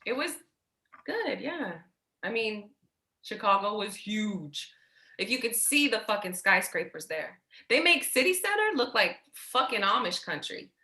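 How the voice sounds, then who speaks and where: An actress playing a tired, protective, foul-mouthed older sister in a naturalistic staged play reading, hesitant, guarded and blunt. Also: female voice, medium pitch, big pitch swings, measured pace, bright, forceful tone, roomy sound, full character voice. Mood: weary, reluctant.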